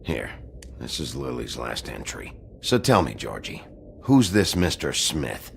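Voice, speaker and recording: A man playing a gruff, menacing sheriff in a video game, terse and intimidating with a deep, gravelly voice. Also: sing-song, a little slow, a little hiss or hum, full character voice. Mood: cool, probing.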